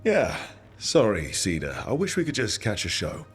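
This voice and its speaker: An actor playing an earnest, lightly wry adventurer in a video game, casual and full of questions. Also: male voice, fairly low pitch, huge pitch swings, normal speed, soft background music. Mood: curious, wry.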